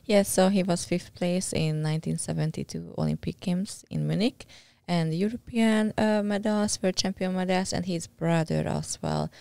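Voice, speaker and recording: A woman with a Hungarian accent speaks in a lowish, gravelly but smooth voice, friendly and quick to laugh. She sometimes hunts for the right English word. Her voice is close on a studio mic and completely dry. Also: steady tone, a little mellow, no echo. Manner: friendly, laughs easily, searches for words. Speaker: guest, judo athlete. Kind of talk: casual interview. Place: small podcast studio. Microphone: boom-arm studio mic with headphones.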